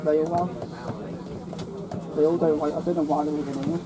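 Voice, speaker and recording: A man's voice: fairly high pitch, flat delivery, fairly quick, heavy background noise. A young man chatting casually with a friend about making train videos. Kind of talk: casual chat. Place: crowded train carriage. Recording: loud crowd chatter on train. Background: crowd chatter.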